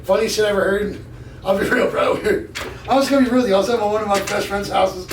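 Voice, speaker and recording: A man in his thirties with a raspy voice, chatting casually as a podcast guest in blunt, sweary slang. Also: sits high, fairly even intonation, fast talker. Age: 30s. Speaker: podcast guest, gym enthusiast. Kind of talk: casual chat. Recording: echoey, distant mic with noise.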